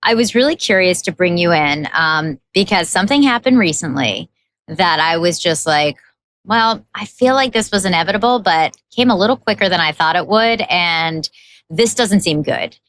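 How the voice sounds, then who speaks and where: A woman's voice: on the low side, expressive, normal speed, fairly bright tone. A woman hosting a tech podcast, asking questions in a curious, engaged way. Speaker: podcast host. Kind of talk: podcast interview.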